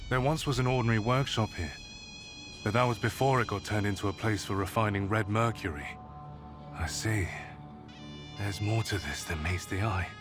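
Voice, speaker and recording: An actor playing a grave, curious gentleman investigator in a video game, musing in a measured, formal way. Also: on the low side, natural voice. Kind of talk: video game cutscene acting. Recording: music behind the voice.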